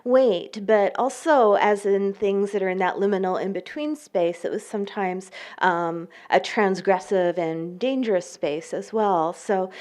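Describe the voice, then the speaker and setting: A woman poet reading her work aloud at a live event, with measured pacing and careful pauses between lines. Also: mid-range voice, darkish tone. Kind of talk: poetry reading with spoken introductions.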